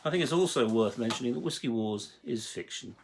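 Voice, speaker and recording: A man giving a prepared explanatory monologue as a writer and producer, speaking in a measured, articulate way with emphatic phrasing. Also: medium pitch, lively intonation, vocal fry, background noise. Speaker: writer and producer introducing his audio drama series.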